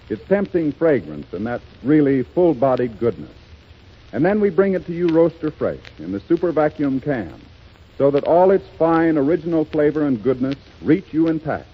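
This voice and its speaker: A man's voice: mid-range voice, husky. A man announcing a 1930s radio commercial with a persuasive ad-read delivery. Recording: dull 1930s radio broadcast recording.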